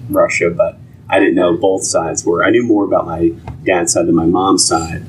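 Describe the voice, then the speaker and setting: A man's voice: deep voice, little pitch movement, moderate pace. A man chatting casually as a podcast co-host. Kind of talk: casual chat. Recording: noisy room ambience.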